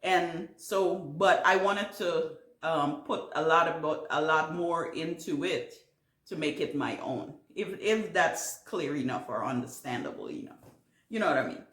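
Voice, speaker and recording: A woman from Guyana with a warm Caribbean accent talks at an unhurried pace, answering viewer questions at home. She rambles gently with fillers and restarts. Her voice carries a noticeable room echo. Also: low-pitched. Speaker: gardening channel host. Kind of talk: casual Q&A vlog.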